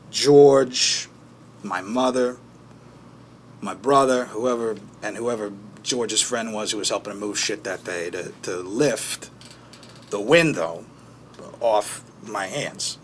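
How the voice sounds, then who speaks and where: A man playing a haunted, bitter man who recounts childhood trauma in a halting, foul-mouthed documentary-interview monologue. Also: on the low side, a little hiss or hum. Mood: uneasy. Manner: halting, foul-mouthed, with pauses and emphatic bursts. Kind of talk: naturalistic dramatic monologue in interview form.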